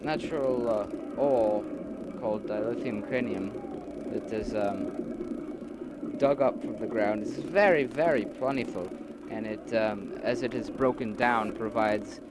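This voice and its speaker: A man playing an earnest leader in an amateur philosophical audio drama, explaining his society formally and haltingly on a homemade nineties recording. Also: on the low side, very noisy, lightly acted. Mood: earnest, explanatory. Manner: halting, explanatory, formal wording with many hesitations.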